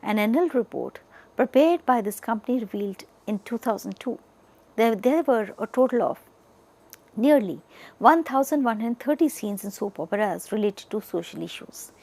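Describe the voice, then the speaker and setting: A woman giving an educational lecture, partly reading from notes, speaking deliberately in short, emphatic chunks. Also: a little high, expressive, creaky, a little mellow.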